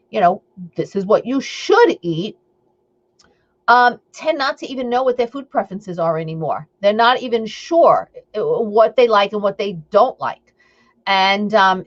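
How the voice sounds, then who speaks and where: A woman's voice: medium pitch, highs cut off. A woman with a Long Island accent hosting a live stream as an eating coach, chatting upbeat and rambling with lots of fillers and asides to her viewers. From Long Island, New York.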